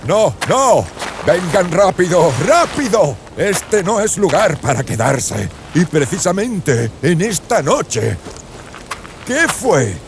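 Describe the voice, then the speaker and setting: Man acting frightened soldier, high and agitated. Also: very animated, takes it slowly, gravelly, noisy background, full character voice. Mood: anxious, urgent. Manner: urgent, agitated exclamations. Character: frightened male soldiers, urgent, superstitious, spooked. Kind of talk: dramatized audiobook acting.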